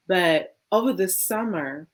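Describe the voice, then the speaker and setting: A woman co-hosting a conversation, introducing herself in a reflective, measured way with pauses between phrases. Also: on the low side, lively intonation. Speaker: co-host, theology scholar.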